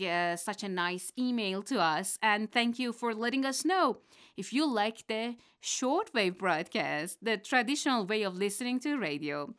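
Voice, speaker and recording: A woman presenting a radio segment where she answers listener mail, speaking in a measured, polite and formal way. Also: a little high, a bit bright, clear, resonant tone. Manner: measured, polite and formal, reads letters aloud.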